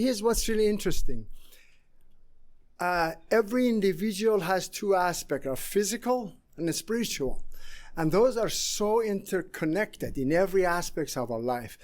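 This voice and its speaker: A man in his sixties from Iran with an Iranian accent, giving a conference presentation in a halting way with many pauses. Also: high-pitched. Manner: halting, many fillers and restarts. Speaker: conference speaker.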